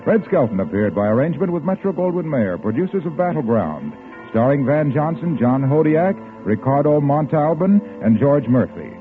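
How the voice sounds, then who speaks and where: A man's voice: a little high, sing-song, moderate pace. An American man announcing on a 1940s radio broadcast, with a polished, emphatic scripted delivery. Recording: tinny vintage radio broadcast, music behind.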